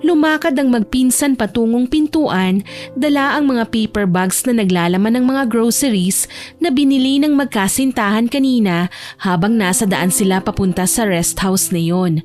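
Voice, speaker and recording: A woman with a slightly high voice narrates a romance audiobook. She delivers it as scripted, dramatic storytelling. Soft music plays behind her. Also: moderate pace. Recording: background music under the voice.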